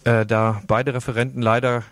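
A man's voice: medium pitch, little pitch movement, moderate pace, no echo. Man presenting radio news in a dry studio.

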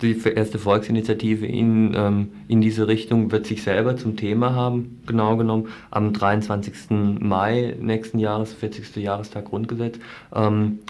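A man hosting a radio interview, phrasing his questions and remarks in a halting, thoughtful way. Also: fairly low pitch, little pitch movement, darkish tone. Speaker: radio show host. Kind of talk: live radio studio interview.

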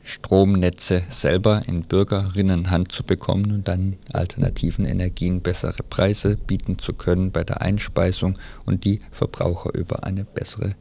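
A man's voice: sits low, creaky, warm tone. A man reading out a scripted programme preview as a radio host.

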